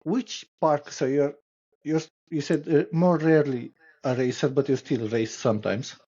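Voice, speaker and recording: A man with a Russian accent hosts a casual interview in English, a language he is not native in. He speaks in a somewhat raised register and often pauses to find his words. The recording sounds slightly dull and lo-fi. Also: a little high, fairly even intonation, warm tone, husky. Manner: searching for words, light non-native phrasing. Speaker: podcast host.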